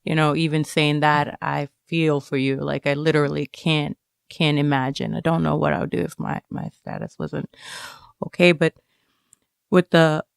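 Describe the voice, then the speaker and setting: A woman being interviewed, talking casually and reflectively, halting as she searches for words. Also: low voice, steady tone, creaky, smooth, dry recording, clean studio sound. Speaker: interviewed student writer.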